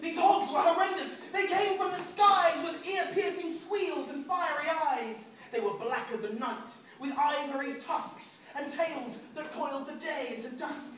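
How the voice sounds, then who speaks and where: A man, a children's author, reading a rhyming story aloud in an expressive, sing-song rhythm at a book event. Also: extremely high pitch, unhurried, hardly any pauses. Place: large echoing room at a book event. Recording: echoey hall, distant mic, noisy.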